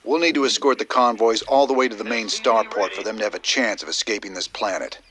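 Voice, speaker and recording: An actor playing a steady, rugged rebel commander in a video game, with a plainspoken, folksy delivery. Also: male voice, on the low side, quick pace, noisy background, lightly acted. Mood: reassuring.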